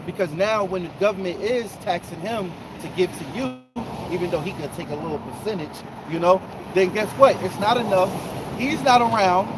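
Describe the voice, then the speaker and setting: A man's voice: sits high, fairly quick. A man talking loosely in a casual outdoor group discussion, full of fillers like "you know" and "man". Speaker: discussion participant. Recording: loud wind noise outdoors.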